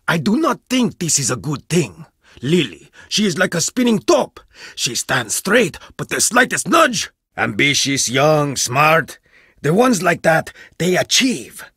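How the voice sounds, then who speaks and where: A man's voice: a little high, sing-song, a little slow, bright, forceful tone, slightly rough, dry recording, clean studio sound, full character voice. A man voicing a stern, proud archaeologist in a video game, blunt, haughty and distrustful, with dramatic swings in how he delivers each line. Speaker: game character voice.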